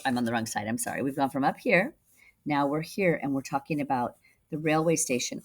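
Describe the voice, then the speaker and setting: Low-voiced woman reading aloud to children. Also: husky. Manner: instructive, addressing young listeners. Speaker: reading teacher. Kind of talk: children's read-aloud with explanatory asides.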